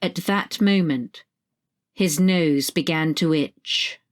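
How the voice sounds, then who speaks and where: Woman, slightly low pitch, short story narration, scripted reading. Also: slow pace.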